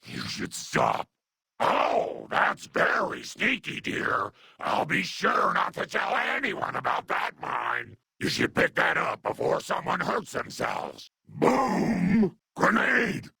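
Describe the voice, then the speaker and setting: Crazy old mutant grandma from a video game man doing the voice, super raspy n gravelly, sweet but scolding, pitch all over the place. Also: sharp tone, no room sound, heavily acted. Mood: doting, chiding. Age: older. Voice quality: gravelly, husky, breathy. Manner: cooing pet names mixed with scolding threats. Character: doting, scolding, unhinged elderly mutant grandmother. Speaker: video game companion character. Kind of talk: video game character acting.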